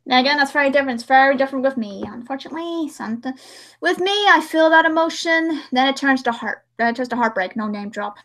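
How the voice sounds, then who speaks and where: Woman livestream host, high voice, casual and rambling, slips into acted-out remarks. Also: expressive, fast, fairly bright tone. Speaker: livestream host and hobby voice actress.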